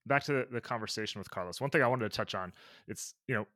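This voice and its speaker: A man's voice: on the low side, fast, bright, forceful tone, no room sound, studio quality. A man co-hosting a podcast in easygoing casual chat, thinking aloud. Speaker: podcast co-host.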